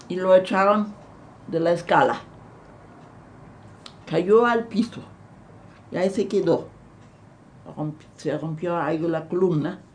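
An elderly woman with a German accent, recalling her past in an interview, halting and repeating words as she searches for them. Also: lowish voice, slight background noise. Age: older. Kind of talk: recounting memories in an interview.

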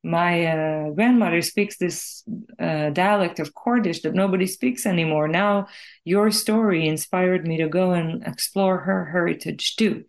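A woman from Israel speaks in a low-pitched voice as an interviewed singer-songwriter. She is reflective and explains her thinking carefully, in a conversational way. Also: measured pace.

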